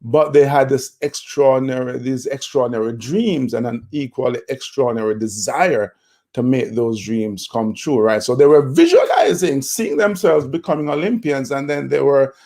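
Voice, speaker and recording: Gravelly Jamaican man telling his story. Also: mid-range voice, expressive, normal speed, darkish tone. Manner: reflective, with frequent fillers and restarts. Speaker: guest, motivational speaker. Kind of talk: conversational interview storytelling.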